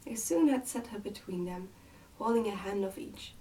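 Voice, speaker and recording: Woman narrator, ASMR book reading, gravelly fry, warm dark timbre, slightly distant microphone. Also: mid-range voice, moderate pace, a little hiss or hum. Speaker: book reader on an ASMR channel. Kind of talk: soft ASMR storybook narration.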